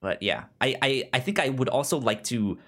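A man co-hosting a podcast in a casual film discussion, halting and often repeating words and syllables as he forms his thoughts. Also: mid-range voice, expressive, a bit bright. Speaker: podcast co-host.

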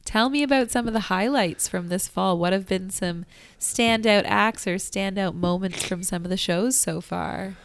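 A woman's voice: mid-range voice, moderate pace, vocal fry, slight background noise. A woman hosting a casual radio interview in a chatty, affirming way. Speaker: interviewer, radio show host.

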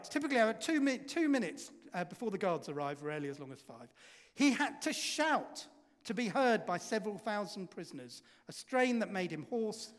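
A man preaching a sermon, telling a story and asking the congregation rhetorical questions, his volume swinging from loud to hushed. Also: high-pitched. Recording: reverberant hall.

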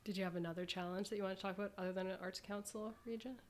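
A woman's voice: mid-range voice, fairly even intonation, rapid-fire. A woman hosting a casual panel discussion, moderating and putting questions to guests. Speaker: host, moderator.

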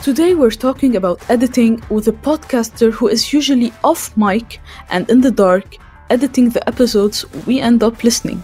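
A woman's voice: fairly high pitch, moderate pace, mellow, faint music behind. A woman hosting a podcast, reading a scripted introduction and interview questions. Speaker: podcast host.

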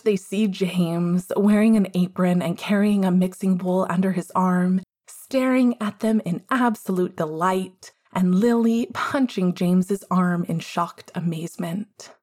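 Woman narrating fiction, slightly low voice. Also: a little slow, darkish tone. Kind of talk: scripted story narration.

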